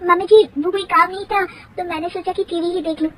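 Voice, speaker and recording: A woman voicing a lively, anxious young daughter-in-law in a story, pleading and dramatic, whiny when upset. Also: very high voice, brisk, slight background noise, voice pushed up. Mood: apologetic, nervous.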